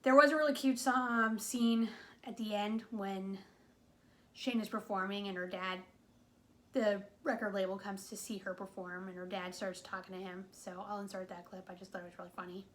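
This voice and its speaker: A woman's voice: mid-range voice, steady tone, normal speed. A woman from New England giving a casual, wry movie review, full of "like" and "um.". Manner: chatty, wry, with frequent "like" and "um".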